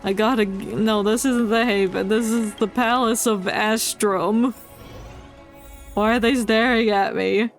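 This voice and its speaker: A woman's voice: a little high, fairly even intonation, measured pace. A woman streaming a video game, giving casual, joking and sarcastic live commentary. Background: game music.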